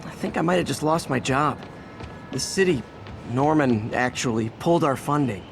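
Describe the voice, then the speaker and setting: A man's voice: medium pitch, expressive, moderate pace, gravelly. An actor playing a young male superhero who is quippy and self-deprecating, heard in video game dialogue over game music. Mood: wry. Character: young male superhero, wisecracking but weary.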